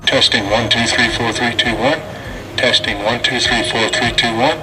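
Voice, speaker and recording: A man's voice: medium pitch, steady tone, moderate pace. Distant man testing over an intercom. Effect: heard through intercom speaker. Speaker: intercom tester. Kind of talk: spoken audio test. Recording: distant intercom speaker, music behind.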